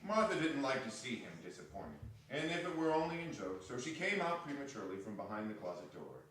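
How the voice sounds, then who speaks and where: Animated man reading aloud in echoey hall. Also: mid-range voice, fairly quick, recorded from a distance, a little hiss or hum. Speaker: stage reader, narrator. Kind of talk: theatrical dramatic reading of a classic story.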